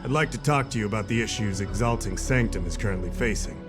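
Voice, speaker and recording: A man playing an earnest, courteous town official in a game, speaking in a measured manner. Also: fairly low pitch, over loud music, close to an everyday voice. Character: polite, earnest male townsman official. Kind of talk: English game voice acting.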